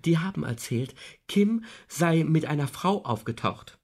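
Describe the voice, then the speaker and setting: An actor playing an earnest, troubled man under suspicion in a radio drama, with a husky voice and insistent delivery. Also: fairly high pitch, animated, a little slow.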